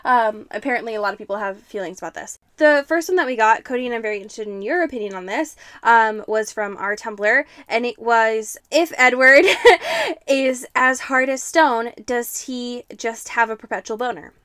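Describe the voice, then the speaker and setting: A woman's voice: a little high, normal speed, fairly bright tone, no room sound, clean studio sound. A young woman hosting a podcast in a casual, sweary way, peppering her talk with "like". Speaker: podcast host.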